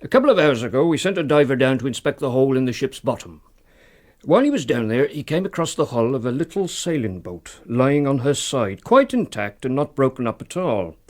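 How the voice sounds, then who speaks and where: An actor playing a courteous, hesitant harbour master in a radio drama, deferential and halting. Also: male voice, medium pitch, big pitch swings, darkish tone. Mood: uneasy, grave.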